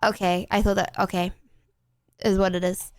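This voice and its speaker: A young British woman streaming a game, chatting casually with her chat and breaking into playful, excited exclamations. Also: mid-range voice, moderate pace, clear, resonant tone, no room sound, clean studio sound. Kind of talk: casual gameplay commentary to chat.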